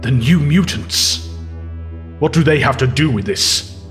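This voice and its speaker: A man's voice: mid-range voice, expressive, measured pace, big-room sound, soft background music, close to an everyday voice. A man playing a troubled older professor.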